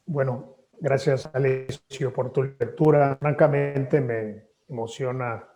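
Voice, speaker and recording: A man, a poet and native Spanish speaker, speaking thoughtfully at a book presentation with measured hesitations. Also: medium pitch, steady tone. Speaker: poet and author. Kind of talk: reflective talk at a book presentation.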